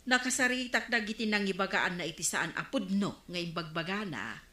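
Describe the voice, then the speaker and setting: A woman's voice: medium pitch, animated, brisk, bright, forceful tone, reverberant. A woman playing a righteous, blunt village woman with a Filipino accent in a radio comedy drama. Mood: disapproving.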